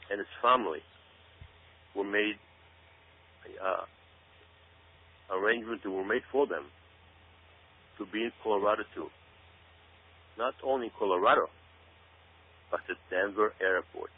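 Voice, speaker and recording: A man's voice: on the low side, a little slow, pauses often, slightly rough. A man delivering an emphatic, dramatic commentary to listeners, repeating himself for effect. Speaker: guest commentator. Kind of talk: commentary monologue addressed to listeners. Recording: tinny phone-like radio sound with hum.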